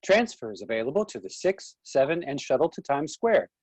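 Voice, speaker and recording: Subway announcer acted by a stage reader, husky and animated, dry close sound, calling stops. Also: medium pitch, a little fast, dry recording. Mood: announcing. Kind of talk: transit announcement in play reading.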